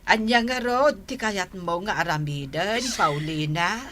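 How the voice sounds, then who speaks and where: Slow, emphatic Filipino mother. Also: lowish voice, a bit bright. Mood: earnest, advising. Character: concerned middle-aged mother, earnestly advising her daughter. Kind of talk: Ilocano radio drama acting.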